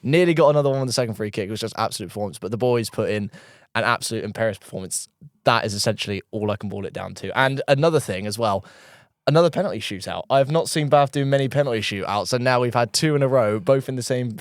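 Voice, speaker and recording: A young man co-hosting a casual fan podcast, excitable and animated as he tells it. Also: medium pitch, fast, no echo, clean studio sound. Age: young adult. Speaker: podcast co-host.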